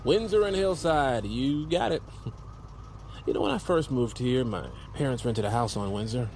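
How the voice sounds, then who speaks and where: A man's voice: medium pitch, animated, moderate pace, a little mellow, a little hiss or hum, lightly acted. An actor playing an anxious, earnest American man of thirty, rambling and apologetic in naturalistic audio drama dialogue. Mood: nervous, apologetic. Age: 30s. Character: anxious, restless thirty-year-old slacker, earnest and apologetic.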